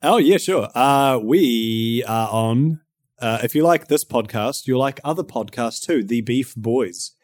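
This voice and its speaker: A man's voice: mid-range voice, measured pace, darkish tone, dry recording, studio quality. A man with a New Zealand accent, chatting as a podcast guest, swearing often and laughing a lot. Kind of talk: casual chat.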